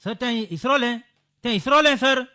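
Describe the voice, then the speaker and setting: A man's voice: very high voice, normal speed, bright, forceful tone. A man playing a flustered, stammering male servant with a Goan accent in a comic radio play. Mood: flustered, explaining. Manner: stammering, repeats words, pleading. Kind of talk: Konkani musical radio comedy play.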